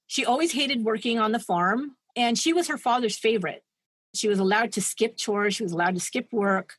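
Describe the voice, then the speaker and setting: A woman's voice: a little high, normal speed, no echo. A woman co-hosting a podcast, retelling a true-crime story in a chatty, digressive way and laughing at her own asides. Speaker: podcast co-host.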